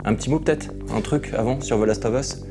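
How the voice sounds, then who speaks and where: A man's voice: medium pitch, fast talker. A man co-hosting a show about video games in a conversational presenting style, with music behind him. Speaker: co-host and game critic. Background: music under the voice.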